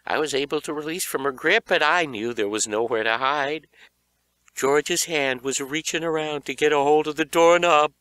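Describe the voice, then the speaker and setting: A man reading a young horror story as an audiobook narrator, with an animated, dramatic delivery. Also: a little high, normal speed, smooth, no room sound.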